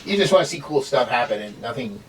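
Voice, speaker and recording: A middle-aged man giving a casual, rambling review in a halting way, as a host. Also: medium pitch, expressive, slight background noise. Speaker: amateur reviewer hosting with a child. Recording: distant mic, home recording.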